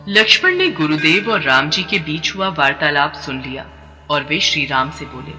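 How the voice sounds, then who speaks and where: A man narrating a devotional story in a steady, expressive way. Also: on the high side, normal speed. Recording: long reverb over background music.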